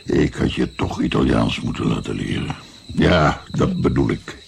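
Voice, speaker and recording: A weary old grandfather in a radio play, burdened by guilt. His voice is extremely low and full of creak, and he speaks slowly and broodingly, with crickets chirping behind him. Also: expressive, heavily acted. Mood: grave, haunted. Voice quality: gravelly, creaky. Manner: slow, pausing, brooding. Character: weary, guilt-ridden 76-year-old Italian-born grandfather. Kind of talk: radio drama acting. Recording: faint noise, night crickets.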